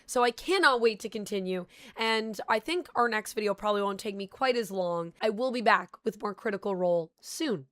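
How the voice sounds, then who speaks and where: A woman's voice: mid-range voice, a little fast, hardly any pauses, no echo. A young woman hosting a casual review vlog, talking in a chatty, enthusiastic way while a little sick, her voice slightly off. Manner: chatty, enthusiastic fan talk. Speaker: channel host reviewing a show.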